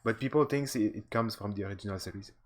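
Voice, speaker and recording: A man streaming a retro game live, commenting in a halting way with many restarts. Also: lowish voice, darkish tone, slight background noise.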